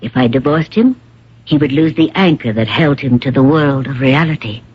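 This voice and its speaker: An actress playing an elderly, poised and theatrical stage star with a condescending manner in a radio drama. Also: female voice, very deep voice, lively intonation, normal speed, husky, full character voice. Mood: coolly superior. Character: elderly stage actress, poised, grandly self-possessed wife. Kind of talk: 1970s-80s radio drama acting. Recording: dull lo-fi old radio recording.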